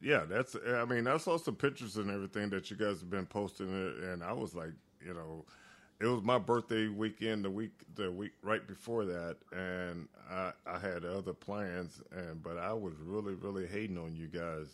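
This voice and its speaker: Laid-back male co-host, low-pitched, easygoing and quick to laugh. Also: a bit bright. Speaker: podcast co-host. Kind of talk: casual chat.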